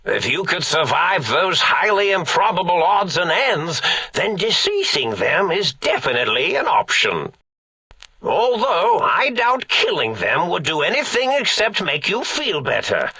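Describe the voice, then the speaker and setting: A man playing an eccentric, forgetful old mad scientist in a video game, raspy and theatrical, rambling along with pompous, absent-minded manner. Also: on the high side, very animated, unhurried, pushed and bright, no room sound, full character voice, rough recording. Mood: lecturing, bemused. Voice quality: raspy, creaky. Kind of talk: video game character acting.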